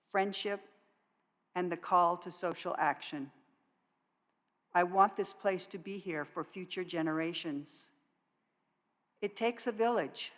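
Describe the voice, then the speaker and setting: A woman reading scripted announcements to a congregation in a measured public voice. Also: fairly low pitch. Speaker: worship associate reading church announcements. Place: church sanctuary. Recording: long reverb of a large hall.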